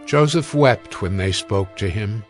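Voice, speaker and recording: A man narrating scripture in a solemn, measured and formal scripted style. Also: lowish voice, expressive, faint music behind.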